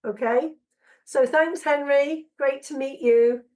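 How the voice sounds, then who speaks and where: A woman with a cold-roughened voice, hosting a casual podcast interview and pausing often with ums and restarts. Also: high voice, a little mellow. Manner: halting, many ums and restarts. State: hoarse from a cold. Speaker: podcast host.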